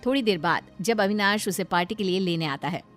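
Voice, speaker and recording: A woman's voice: mid-range voice, brisk, a bit bright, soft background music. Female narrator for a scripted moral tale, storytelling delivery.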